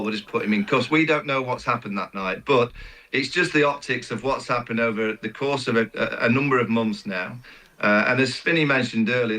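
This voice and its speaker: A man discussing sport conversationally as a pundit, repeating words for emphasis in a halting way. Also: medium pitch, pushed and bright, poor audio. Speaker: cricket pundit.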